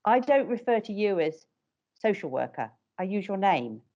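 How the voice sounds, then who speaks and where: A woman's voice: medium pitch, big pitch swings, normal speed, warm tone. A middle-aged woman and parent carer speaks firmly and directly on a panel video call. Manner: firm, direct and emphatic. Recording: dull lo-fi call audio.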